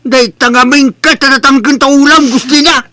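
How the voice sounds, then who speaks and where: A man's voice: very high-pitched, steady tone, a little fast, pushed and bright, no room sound. A man playing a worried, reproachful relative in a radio-style comedy drama, with exclamatory delivery. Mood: scolding. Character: worried, scolding male relative of a gullible woman.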